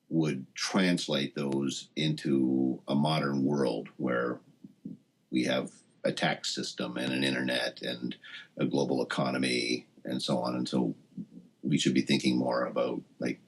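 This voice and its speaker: A man speaking as an interview guest, reflective and thoughtful, forming his ideas in halting phrases. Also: very deep voice, level tone, breathy edge, low-quality recording.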